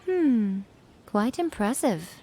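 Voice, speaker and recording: An actress playing a sly, refined shrine priestess in a video game, coy and measured. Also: female voice, on the high side, sing-song, noisy background. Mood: amused, teasing. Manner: coy, measured, mock sympathy. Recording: music behind the voice.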